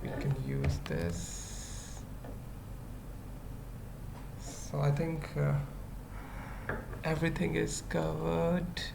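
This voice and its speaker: Slow, creaky-voiced coding tutorial presenter. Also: medium pitch, sing-song, background noise. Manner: halting, murmured fragments while working. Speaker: web development tutorial presenter. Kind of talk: informal screencast coding tutorial, thinking aloud.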